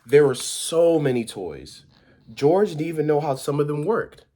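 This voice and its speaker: A man's voice: fairly high pitch, lively intonation, unhurried, warm tone. A man reading a children's story aloud as a volunteer, informal and occasionally stumbling over his words.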